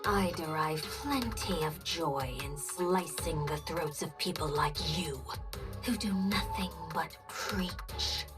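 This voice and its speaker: A woman's voice: low voice, takes it slowly, music in the background. A woman playing a menacing immortal villainess in a video game, taunting and sinister.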